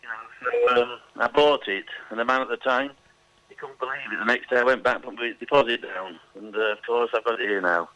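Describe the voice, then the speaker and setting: A middle-aged Englishman with a bright, forceful voice talks in a chatty, rambling way and stops often for ums and restarts. He sounds like a radio interview guest. The sound is dry and close. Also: medium pitch, fairly quick, no echo, rough recording. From England. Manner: chatty, hesitant with many ums.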